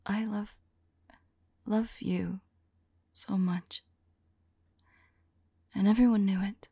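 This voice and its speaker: A woman playing a tender, sorrowful vampire lover in an ASMR roleplay, speaking softly and intimately in a breathy, hesitant whisper. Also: medium pitch, fairly even intonation, extremely slow, no room sound, heavily acted. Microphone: close microphone. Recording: close, dry microphone.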